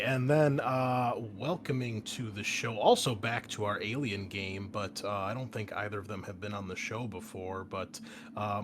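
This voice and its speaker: A man hosting a tabletop game as game master, chatting casually as he introduces the session. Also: fairly low pitch. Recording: clear background noise.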